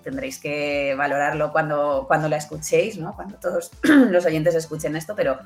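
A low-voiced woman talks as an interview guest. She builds her answers in stop-start phrases, often checking in with small question tags. Faint music sits around the recording. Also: steady tone, normal speed. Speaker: interview guest, audio fiction producer. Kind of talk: conversational interview.

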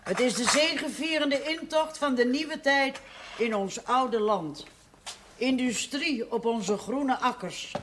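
Slow, high-voiced doom prophet. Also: male voice, a bit bright, exaggerated voice. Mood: solemn lament. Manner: declamatory, prophetic. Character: doom-laden Bible-quoting prophet, solemn and fervent. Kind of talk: theatrical television drama recitation. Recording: faint noise, older television soundtrack.

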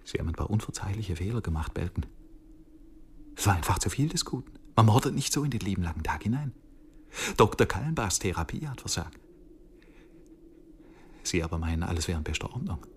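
A low, gravelly police detective in a radio crime play. He talks at length with biting irony and swings animatedly through his phrases, with faint noise around him. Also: big pitch swings, brisk, pauses often. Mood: sardonic. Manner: ironic, wordy, needling. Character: sardonic male chief police detective, talkative under threat. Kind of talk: radio crime drama acting.

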